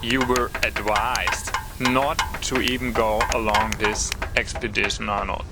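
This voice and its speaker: A man's voice: fairly low pitch, steady tone, measured pace, heavy background noise. Man playing a firm, cautious archaeologist. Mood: stern concern. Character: cautious, firm male archaeologist, scolding but caring. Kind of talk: amateur audio drama acting. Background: loud music.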